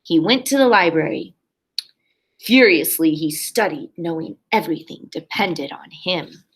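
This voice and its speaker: A woman's voice: on the low side, expressive, a little slow, breathy edge. A woman kindergarten teacher reading a picture book aloud expressively for young children, with simple explanatory asides.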